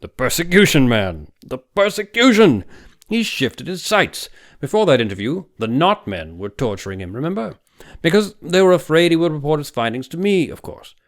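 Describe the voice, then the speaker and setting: Man playing an emphatic older doctor, swooping pitch. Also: big pitch swings, moderate pace. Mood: excited, gloating. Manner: emphatic, exclamatory, argumentative. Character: older male doctor, vehement, scheming, condescending psychiatrist. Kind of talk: audiobook character voice.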